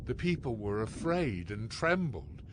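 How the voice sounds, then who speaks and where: Slow-paced male scripture narrator. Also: fairly low pitch, big pitch swings, noisy background.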